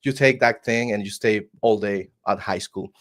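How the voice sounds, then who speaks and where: A man's voice: fairly low pitch, normal speed, a bit bright. Costa rican guy, spanish accent, earnest, keeps restarting his words, video call. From Costa Rica. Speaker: guest. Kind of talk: casual interview storytelling.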